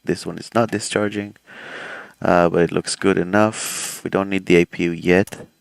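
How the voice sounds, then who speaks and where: Low gravelly man, laid-back streamer. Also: moderate pace, no room sound. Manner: relaxed, rambling, many fillers. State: throat slightly swollen. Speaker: flight sim streamer, airline pilot. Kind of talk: casual live-stream commentary while doing checks.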